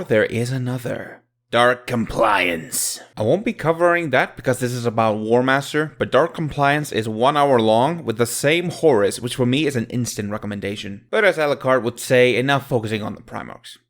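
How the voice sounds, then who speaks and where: A man's voice: mid-range voice, a bit bright. A man hosting a review channel, giving casual scripted commentary in a jokey, irreverent, slangy manner. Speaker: host of an audio drama review channel.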